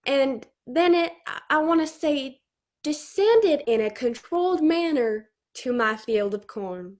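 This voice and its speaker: A woman's voice: high voice, lively intonation, takes it slowly. A young woman acting a stubborn, folksy male farmer with a rustic drawl in a comic radio play. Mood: earnest. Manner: blustery, folksy. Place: home room, on a video call.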